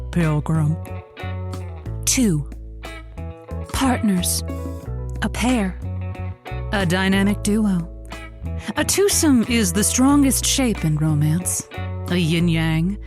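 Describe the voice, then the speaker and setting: A woman's voice: medium pitch, natural voice. An actress playing a nervous, folksy woman with a theatrical streak in a scripted audio comedy. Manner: folksy, playful, theatrical delivery with homespun sayings. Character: nervous folksy woman who doubles as theatrical love-advice radio cowgirl host.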